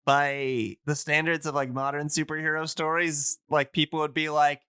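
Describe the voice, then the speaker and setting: A man with a bright, smooth voice hosts a casual podcast. He explains things like an enthusiast, wandering and nerdy in his phrasing. The sound is very dry, with no room around him. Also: fairly high pitch, flat delivery, moderate pace, no room sound. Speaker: podcast host.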